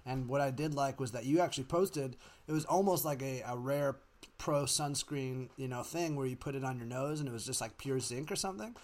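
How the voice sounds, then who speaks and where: Casual podcast host, filler-heavy speech. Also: male voice, mid-range voice, brisk, a little mellow. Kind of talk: casual conversation.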